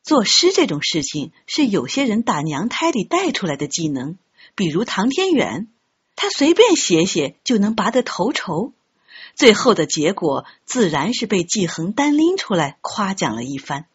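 A woman narrates a story with an expressive, scripted delivery. Her voice has a husky grain and moves in lively swings of pitch. The recording is slightly dull and lo-fi. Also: moderate pace. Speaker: audiobook narrator. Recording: dull, muffled lo-fi audio.

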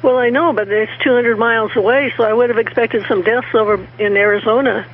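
A woman speaking as a panelist, explaining news reports in a halting way with repeated words. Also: on the high side, steady tone. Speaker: panelist on a talk show. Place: remote call into radio show. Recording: tinny phone-like line with static.

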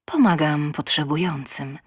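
A woman voicing a polite, calm, reserved gentleman in an audio short story, speaking formally and in a measured way. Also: breathy edge, no room sound, studio quality. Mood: polite, composed. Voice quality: low timbre.

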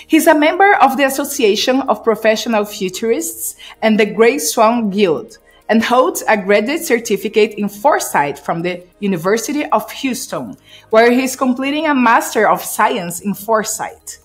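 A woman's voice: a little high, animated, moderate pace, faint music behind. A woman in her forties hosting a podcast interview, speaking eagerly with slightly unusual phrasing into a studio mic with a pop filter. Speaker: podcast host. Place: home studio, indoors. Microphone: studio mic with pop filter and headphones.

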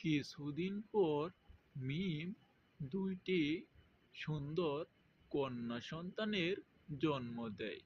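A man with a fairly high voice narrates a scripted cartoon fairy tale. His delivery is extremely slow. The audio is slightly dull and lo-fi. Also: a little mellow. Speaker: storyteller narrator.

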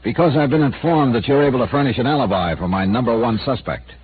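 An actor playing a hard-boiled, wry insurance investigator, narrating in a clipped, dry 1950s radio drama style. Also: male voice, mid-range voice, fast talker, slight background noise, lightly acted. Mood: terse. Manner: clipped, dry, matter-of-fact. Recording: tinny old radio transcription with music.

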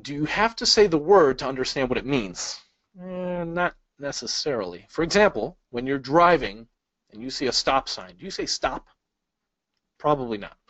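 A man's voice: medium pitch, expressive, moderate pace, fairly bright tone. A man teaches a webinar from a small room over a webcam, friendly and full of questions for his audience. The sound is dry and a little dull, with no room echo and a muted top end. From Chicago, US. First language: Polish. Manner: friendly, asks the audience questions, light jokes. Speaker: speed-reading instructor. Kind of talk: webinar lesson with slides. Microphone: webcam inset.

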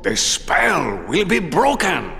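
Courtly cartoon candelabra, animated pitch, unhurried, theatrical, echoing hall. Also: male voice, gravelly, heavily acted. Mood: grave. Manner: flourishing, formal. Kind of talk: cartoon character in video game. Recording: hall reverb, faint noise.

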